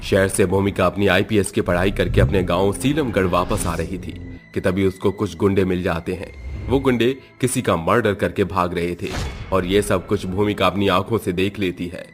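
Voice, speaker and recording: A man's voice: low-pitched, steady tone, moderate pace. A man narrating a scripted horror moral story as a storyteller. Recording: music behind the voice.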